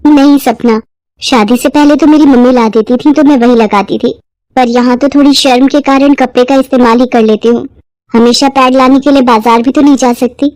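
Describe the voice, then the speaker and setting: A woman's voice: high-pitched, steady tone, moderate pace, a little mellow, no echo, lightly acted, low-quality recording. A woman voicing a shy, resigned young married sister-in-law, hesitant and accepting, in a moral-story drama.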